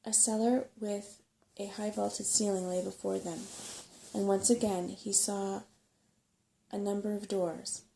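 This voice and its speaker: A soft-spoken woman narrating a bedtime story in an ASMR style. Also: mid-range voice, level tone, measured pace, creaky, slight background noise.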